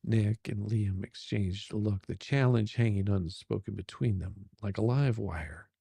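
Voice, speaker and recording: A man's voice: lowish voice, unhurried, creaky, dark tone, husky, dry recording. A man narrating a romance story in a dramatic, suspenseful, sultry style.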